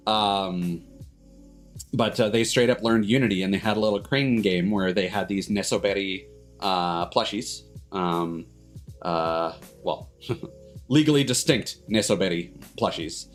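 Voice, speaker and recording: A man hosting a livestream in casual chat, rambling and haltingly backtracking mid-sentence. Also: on the low side. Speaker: streamer host. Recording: music playing behind the voice. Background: background music.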